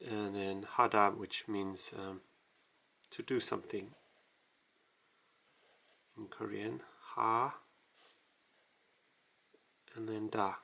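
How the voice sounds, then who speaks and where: A man casually walking through a writing tutorial, explaining in a halting, self-deprecating way as he writes. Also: lowish voice, steady tone, gravelly, sharp tone, poor audio. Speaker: language tutorial host.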